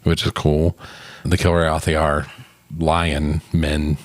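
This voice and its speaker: A man's voice: very deep voice, level tone, slow pace, no room sound. A man co-hosting a casual movie discussion, swearing freely and often chuckling. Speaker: podcast co-host reviewing films.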